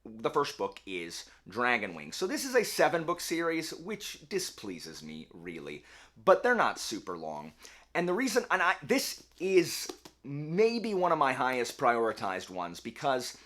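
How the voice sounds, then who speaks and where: A man hosting a casual book video, chatty and rambling, often correcting himself, his voice a little hoarse. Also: medium pitch, huge pitch swings, unhurried, a bit bright. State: slightly hoarse. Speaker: book channel host.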